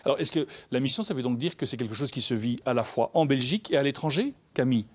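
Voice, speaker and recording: A man's voice: mid-range voice, expressive, quick pace. A man hosting a TV magazine, asking his guests questions in a formal way. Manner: formal, inquiring. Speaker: TV magazine host. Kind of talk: TV interview hosting.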